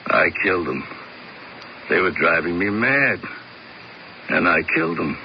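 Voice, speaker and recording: A man's voice: low voice, very animated, a little slow, creaky, a little hiss or hum, heavily acted. An actor playing a tormented hired man who confesses to killing, sullen and defiant, in a 1970s radio drama. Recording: lo-fi vintage radio broadcast.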